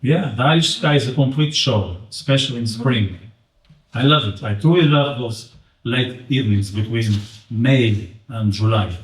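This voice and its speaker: A man's voice: mid-range voice, normal speed. A man with a Portuguese accent giving a chatty, joking talk and quizzing the audience. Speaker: invited speaker. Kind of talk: interactive public talk. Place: community event room. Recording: roomy echo, mic at a distance.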